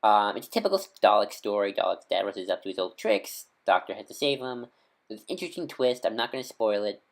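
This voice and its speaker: A man's voice: on the low side, big pitch swings, fast talker, creaky. Rambling fan man full of ums. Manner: enthusiastic fan. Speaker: video host. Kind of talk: casual video discussion.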